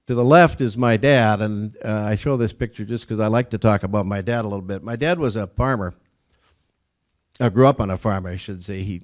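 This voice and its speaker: A man in his sixties from Minnesota, giving a folksy, self-deprecating speech at a club lunch, with many pauses and fillers. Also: lowish voice, a little mellow. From Minnesota, USA. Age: 60s. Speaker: Rotary district governor, guest speaker.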